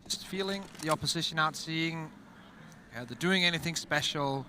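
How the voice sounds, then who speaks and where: A man with a Danish accent, a native Danish speaker, casting a game as an esports commentator, excited and analytical. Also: on the high side, normal speed, noisy background. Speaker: esports caster.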